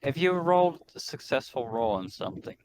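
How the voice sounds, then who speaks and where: A man's voice: mid-range voice, big pitch swings, a little fast. A man playing a tabletop roleplaying game, chatting casually. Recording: noisy microphone with room ambience.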